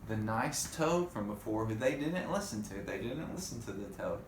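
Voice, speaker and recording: A man's voice: medium pitch, lively intonation, normal speed. A man reading a storybook aloud to children, expressively, with chatty asides to his young listeners. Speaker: storybook reader. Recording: echoey room, faint background noise.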